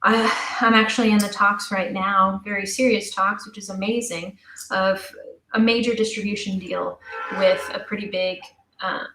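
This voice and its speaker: A woman's voice: medium pitch, fairly even intonation, normal speed, pushed and bright, soft background music. A young woman chatting casually and emphatically as a guest in a podcast interview. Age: young adult. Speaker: interview guest, audio drama creator. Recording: roomy, echoey voice.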